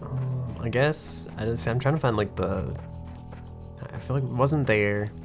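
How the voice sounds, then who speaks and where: A man streaming a puzzle game. He talks fast and low-key, with plenty of "like" fillers and a somewhat nasal voice, stumbling over words now and then. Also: gravelly, over music. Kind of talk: casual gaming commentary, reading game text aloud. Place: home room with a desk.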